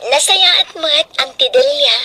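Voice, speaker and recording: A woman's voice: sits high, a little slow, bright, forceful tone. A woman playing a polite, obedient young student daughter in a radio drama, with an Ilocano Filipino accent. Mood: respectful.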